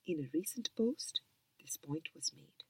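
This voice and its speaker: A woman reading a spiritual book aloud in a soft, calm whisper. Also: sits low, unhurried, creaky, a little hiss or hum. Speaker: reader.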